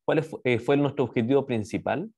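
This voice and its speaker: A man's voice: medium pitch, fairly quick, creaky, mellow, slightly rough. A middle-aged man with a Chilean Spanish accent, explaining a project as a university lecturer on a video call, hesitant and often adding tag questions. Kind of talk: explanatory slide presentation. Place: indoor room, on a video call. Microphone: video call microphone.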